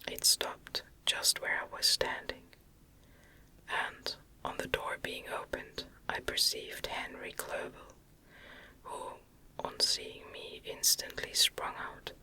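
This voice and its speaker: Slow whispering woman, very crisp and bright. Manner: soft, slow, deliberate delivery with careful phrasing. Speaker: audiobook narrator. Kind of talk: ASMR whispered reading of a classic novel.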